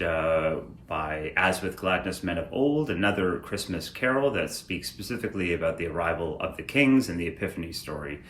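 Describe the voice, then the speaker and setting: A man giving an informal explanatory talk on church music, thoughtful and hesitant, with frequent pauses. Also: low-pitched, fairly bright tone. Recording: roomy echo, clear background noise.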